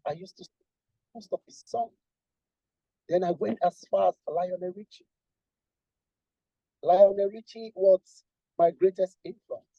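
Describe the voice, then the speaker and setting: A man from Nigeria with a husky voice, a guest musician talking at length in a casual interview and restarting his sentences often. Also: high-pitched, a little slow, pauses often, no echo, lo-fi sound. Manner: rambling, repeats and restarts, lists with emphasis.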